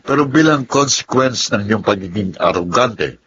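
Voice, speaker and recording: Low-voiced young company boss. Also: male voice, expressive, moderate pace, fairly bright tone, highs cut off, a little hiss or hum. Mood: stern, authoritative. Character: stern, composed young male heir and company boss. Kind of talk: radio drama acting.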